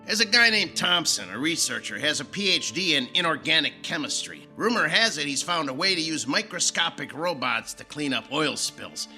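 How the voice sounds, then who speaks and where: A commanding male newspaper editor with a very sharp, forceful voice on the higher side. He is brusque and sardonic, with animated intonation, in a radio play. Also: faint music behind, exaggerated voice. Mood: businesslike, urgent. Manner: brisk, sarcastic, commanding. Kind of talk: radio drama dialogue.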